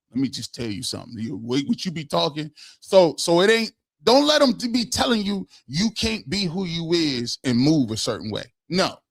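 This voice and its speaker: Male podcast host, animated and slangy, slightly high voice, dry close mic. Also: dry recording. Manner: animated, laughs often, slangy and emphatic. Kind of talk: casual live-stream chat.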